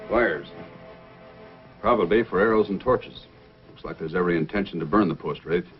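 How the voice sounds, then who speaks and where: A deep-voiced, heroic Mountie sergeant played by a male actor gives firm, deliberate orders in an old radio adventure. The recording is vintage and narrow, with music behind it. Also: close to an everyday voice. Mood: commanding. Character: calm, authoritative Mountie sergeant, heroic and commanding.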